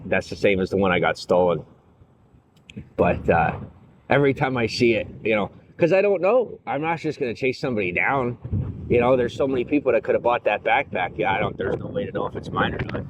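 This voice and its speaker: Man, low voice, casual livestream chat, rambling, filler-heavy, outdoors, noisy street with traffic. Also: expressive, fairly quick, lo-fi sound. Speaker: streamer.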